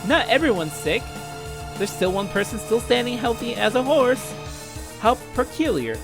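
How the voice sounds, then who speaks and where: A man's voice: very high voice, very animated, moderate pace, creaky, pitched above the performer's own voice, exaggerated voice. A man voicing a bitter, desperate, dying young man in a visual novel, with strained and theatrical delivery. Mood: frantic denial. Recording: loud music under voice.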